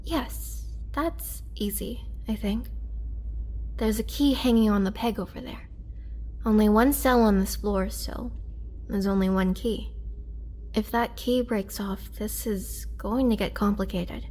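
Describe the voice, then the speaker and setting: A woman playing a weakened, imprisoned vampire in a roleplay audio drama, gentle and polite, speaking hesitantly with soft, whispery breath and a pleading earnestness. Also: mid-range voice, a little slow, a little hiss or hum, natural voice. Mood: careful, hopeful. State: weak, drained. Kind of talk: girlfriend roleplay audio drama, intimate acting.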